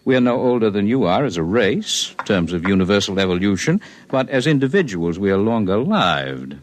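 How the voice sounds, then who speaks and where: A calm alien healer who talks like a scholar, measured and faintly superior. His low voice rises and falls in lively swings. It sounds like an old radio play. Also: slight background noise. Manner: measured, lofty, precise. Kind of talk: 1950s radio drama acting.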